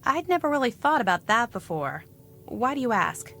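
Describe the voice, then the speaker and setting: A woman's voice: fairly high pitch, big pitch swings, moderate pace, sharp tone, heavily acted. An actress playing a friendly, earnest woman who proudly runs a small museum in a video game. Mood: welcoming. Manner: welcoming, earnest. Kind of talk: video game character dialogue.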